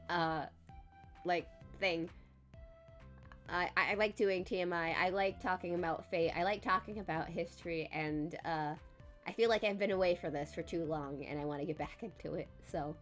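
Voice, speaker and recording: A woman hosting a live stream in casual chat, congested and recovering her voice, chatty and quick to laugh. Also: on the low side, a bit bright. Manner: chatty, laughs often, self-deprecating. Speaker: streamer host.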